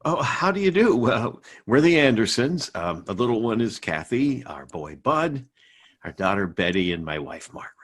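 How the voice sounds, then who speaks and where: A man's voice: medium pitch, very animated, normal speed. An actor playing a genial, easygoing middle-aged father in a family radio drama. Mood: polite, grateful.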